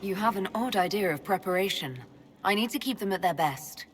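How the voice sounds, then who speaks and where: An actress playing a composed, dryly amused woman commander in a video game, speaking in a measured, understated way. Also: mid-range voice, expressive, natural voice. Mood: dryly serious. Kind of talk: video game character acting.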